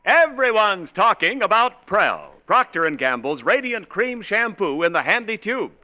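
A man's voice: high-pitched, sing-song, moderate pace. A man announcing commercials and credits in a polished, emphatic 1940s radio style. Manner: polished, emphatic sales delivery. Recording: muffled old radio broadcast, music bleed.

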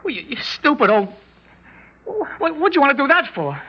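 A jittery young New hoodlum, pitched high and talking tough in street slang. It is old radio crime drama, with a dull lo-fi sound. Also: male voice, big pitch swings, normal speed, very noisy, exaggerated voice. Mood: shaken, panicky. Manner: streetwise slangy talk, agitated. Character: jittery, tough-talking young male gunman holding a hostage. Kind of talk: 1960s radio drama acting.

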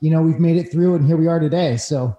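A man from northern California tells personal stories in a relaxed, casual chat. His voice is mellow and on the higher side for a man. The room around him echoes clearly. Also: quick pace, lo-fi sound. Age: 40s. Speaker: podcast guest, real estate broker. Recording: roomy echo.

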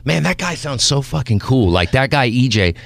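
A man from Mexico hosting a casual interview podcast, animated and rambling, cursing freely and restarting his sentences. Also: medium pitch, normal speed, creaky, no room sound. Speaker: podcast host.

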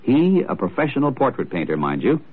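A man's voice: mid-range voice, wildly swooping pitch, a little fast, husky. A man reading a scripted educational piece as a 1940s radio announcer. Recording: tinny, narrow old radio recording.

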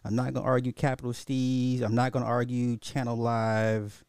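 A man's voice: mid-range voice, monotone, moderate pace, darkish tone, smooth, no room sound. A man hosting a casual, opinionated commentary podcast in slangy, informal speech, thinking aloud and often repeating his own phrases. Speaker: podcast host.

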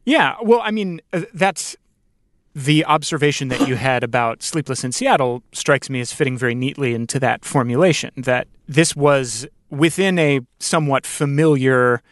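Man, podcast interviewer, medium pitch, thoughtful, deliberate wording, conversational, dry recording. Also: no room sound. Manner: wordy, articulate, deliberate phrasing.

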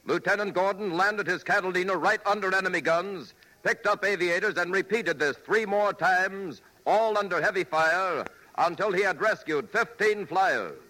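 High, forceful American male radio announcer. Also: normal speed, poor audio. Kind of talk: 1940s radio tribute, scripted narration.

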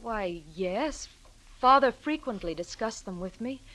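Young female defendant, fairly high voice, earnest, hesitant, proper diction, old-time radio drama. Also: expressive, a little slow, fairly bright tone, low-quality recording. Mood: earnest, nervous.

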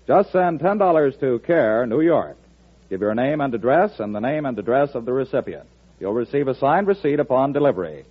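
A man announces a scripted appeal in formal vintage radio style. His pitch moves expressively and his tone is slightly husky. The recording is narrow and tinny.

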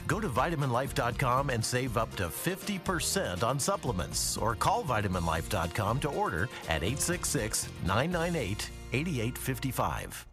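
A man's voice: mid-range voice, sing-song. A man reading a scripted commercial, upbeat, listing brands and a phone number. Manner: upbeat, brisk product listing. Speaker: ad announcer. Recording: ad with music bed.

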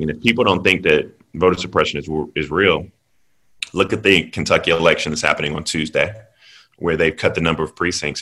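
A man from South Carolina, speaking as a podcast guest. He talks in an earnest, measured way with frequent ums. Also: very deep voice, bright, forceful tone. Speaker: guest political commentator. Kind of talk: podcast interview.

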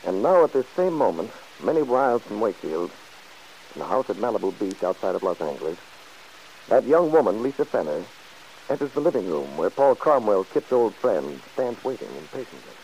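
A man's voice: lowish voice, very animated, brisk, darkish tone, low-quality recording. A man narrating an old-time radio soap opera in a dramatic, portentous scripted style. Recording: hissy vintage radio broadcast recording.

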